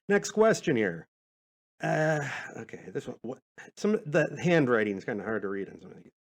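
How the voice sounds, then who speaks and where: A man's voice: mid-range voice, big pitch swings, moderate pace. A man, a podcast host, chatting in a casual, self-deprecating way as he rambles through answers to questions. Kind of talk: casual rambling Q&A monologue, reading out listener questions.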